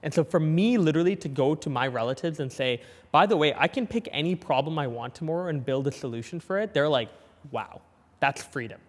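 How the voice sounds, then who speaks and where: A young man with a lisp, talking casually as a guest in an interview, eager and quick with his replies. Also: a little high, animated, big-room sound. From Canada. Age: young adult. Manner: quick, eager, frequent "yep" and "yeah" backchannels. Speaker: guest, entrepreneur.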